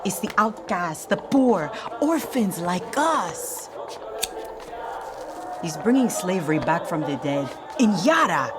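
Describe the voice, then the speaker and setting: A woman's voice: on the low side, sing-song, takes it slowly, over music. An actress playing a defiant young rebel woman in a video game, urgent and impassioned. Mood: indignant. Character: fiery, defiant young female rebel, an orphan. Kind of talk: cinematic video game acting.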